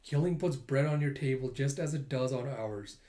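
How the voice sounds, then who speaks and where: A man voicing a calculating mercenary assassin who negotiates in an unhurried, businesslike way. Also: mid-range voice, dark tone, close to an everyday voice. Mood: cool bargaining. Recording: roomy echo.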